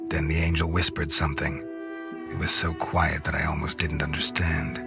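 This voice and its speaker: A man's voice: rumbling low, level tone, moderate pace, creaky, over music. An actor playing a brooding, grave male vampire in a video game, in scripted noir style. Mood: brooding, grim. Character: brooding male vampire hunting for life-saving blood. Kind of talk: scripted first-person noir narration and game dialogue.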